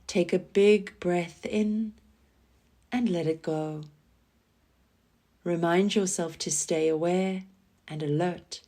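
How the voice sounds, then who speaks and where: A woman leading a scripted guided relaxation meditation in a calm, soothing manner, giving gentle instructions with pauses. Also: on the low side. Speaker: yoga nidra guide.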